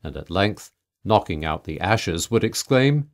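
A man reading a humorous old literary tale aloud as an audiobook narrator, in measured, mock-solemn storytelling. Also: sits low, lively intonation. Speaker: audiobook reader.

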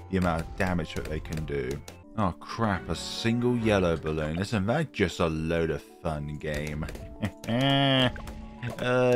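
A man hosting casual gameplay commentary, his voice croaky from a cold, chatty and self-mocking. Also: deep voice. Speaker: gaming channel host.

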